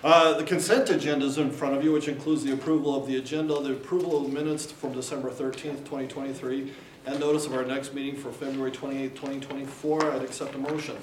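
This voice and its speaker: A man chairing a board meeting, brisk and procedural with light joking. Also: medium pitch, little pitch movement, a little hiss or hum. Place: meeting room. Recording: roomy hall, distant mic.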